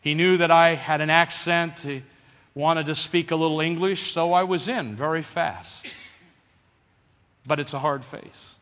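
A man's voice: on the high side, unhurried, in a large hall. A man preaching a sermon, telling a story and calling on the congregation to answer him. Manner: animated, calls for congregation response.

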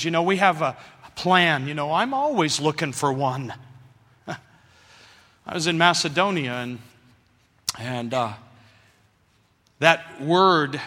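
Animated male preacher in an echoey hall. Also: a little high. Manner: animated, calls for congregation response. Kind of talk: sermon.

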